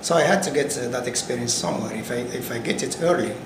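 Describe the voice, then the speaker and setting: A man's voice: medium pitch, quick pace, creaky. A man in his fifties from Morocco, answering interview questions about his career in a hesitant way, with many fillers and restarts. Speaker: agricultural researcher. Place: indoor room with curtain backdrop. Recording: roomy sound with air-conditioning hum.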